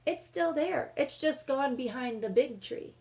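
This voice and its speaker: The eldest brother of a storybook family speaks firmly, keeping watch and staying steady, as a woman reading aloud voices him. His delivery is calm and measured, with little put-on change from an everyday voice. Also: high-pitched, pitched above the performer's own voice. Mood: wary. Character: eldest brother, steady young boy leader.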